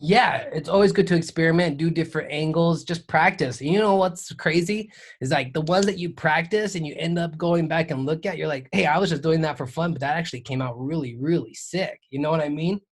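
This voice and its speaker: A man's voice: fairly high pitch, fairly quick, hardly any pauses. A man hosting a podcast in a hyped, slangy, enthusiastic way. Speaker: podcast host. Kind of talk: casual podcast chat.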